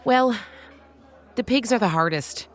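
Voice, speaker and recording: A woman's voice: on the high side, animated, unhurried, voice pushed up. A woman voicing a frank, heartfelt farmer in an audio story. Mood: matter-of-fact. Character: frank, earnest adult woman goat-and-pig farmer.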